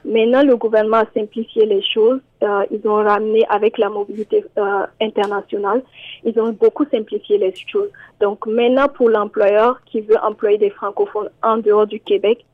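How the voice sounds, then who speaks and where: A woman's voice: medium pitch, little pitch movement, normal speed, dry recording. A woman immigration columnist, speaking in a radio interview and hesitating with many fillers and repeated words. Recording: tinny phone line, very dry.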